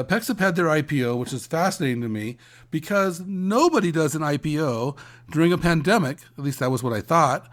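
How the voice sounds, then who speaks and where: Halting man discussing industry news. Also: on the high side. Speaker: co-host of an industry news podcast. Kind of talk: conversational news commentary.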